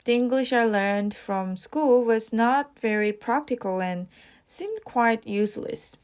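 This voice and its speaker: Slow, measured young woman. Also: fairly high pitch, a little mellow, clean tone. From South Korea. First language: Korean. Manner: reads each question, then answers with rehearsed, casual fillers. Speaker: IELTS practice test speaker. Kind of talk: model answers for a speaking exam.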